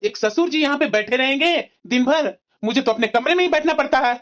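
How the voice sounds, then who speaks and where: A woman's voice: high-pitched, brisk, lightly acted. A woman voicing a pompous, entitled, complaining young son-in-law in a cartoon family drama. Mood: grumbling. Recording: dull, lo-fi sound.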